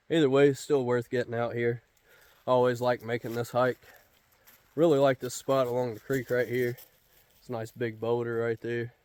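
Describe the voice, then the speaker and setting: A man's voice: mid-range voice, clean tone, no echo. A man, congested from a sinus cold, casually narrating a hike in the woods. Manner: easygoing, relaxed. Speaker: hiking vlogger. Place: outdoors in a forest and in a car. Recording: noisy outdoor camera recording.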